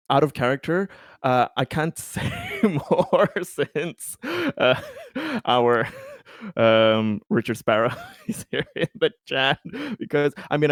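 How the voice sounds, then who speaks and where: A man playing a tabletop roleplaying game, talking in a hesitant, halting way with many repeated words as he explains and negotiates carefully. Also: medium pitch, clear, resonant tone, no room sound, studio quality. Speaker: role-playing game player. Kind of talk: improvised in-character talk and table discussion.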